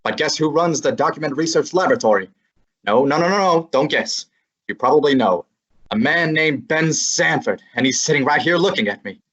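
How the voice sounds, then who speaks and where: Breezy smart-mouth sidekick guy , woman playing him, husky mid voice, muffled lo-fi radio play. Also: deep voice, lively intonation, a little fast. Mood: wisecracking. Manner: breezy, sarcastic. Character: wisecracking young male assistant detective. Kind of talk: student radio drama acting. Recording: dull lo-fi recording.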